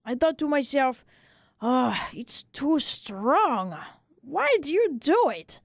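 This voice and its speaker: A woman playing an excitable, tender-hearted little French barber telling his tale in a dramatic, emphatic way for a radio story. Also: high voice, slow pace, vocal fry, no echo, clean studio sound, voice pushed up, heavily acted. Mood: agitated, musing.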